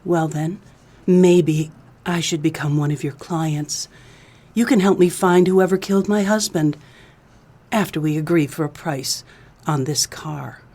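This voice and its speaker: Woman as a low-voiced, coy noir femme fatale. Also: measured pace, dark tone. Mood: coy, flirtatious. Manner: coy, sweet-talking with pet names. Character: coy, scheming femme fatale widow, cloyingly flirtatious. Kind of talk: comedic noir radio play dialogue.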